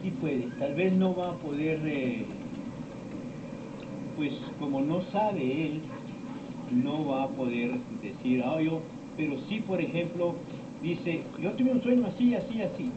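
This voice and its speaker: Middle-aged male lecturer, slow and meandering, quoting conversations, recorded from afar on a dull, noisy amateur recording. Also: on the high side, expressive. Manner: slow, rambling storytelling with many pauses and quoted dialogue. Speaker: teacher of esoteric topics. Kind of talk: informal lecture to students. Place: lecture room. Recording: echoey room, distant mic, dull lo-fi sound, noisy.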